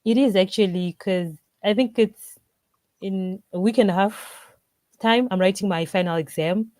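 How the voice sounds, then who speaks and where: Zimbabwean woman chatting as a podcast guest, soft mellow tone, dry audio. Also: medium pitch, measured pace, dry recording. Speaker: interview guest, craft brewer. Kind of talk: casual interview answers.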